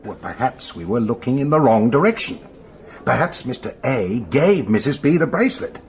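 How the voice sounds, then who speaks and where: Animated young male sleuth. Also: mid-range voice, moderate pace, a little hiss or hum. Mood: coolly reasoning. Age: young adult. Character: young male amateur sleuth, brisk, reasoning, insistent. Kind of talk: radio drama acting.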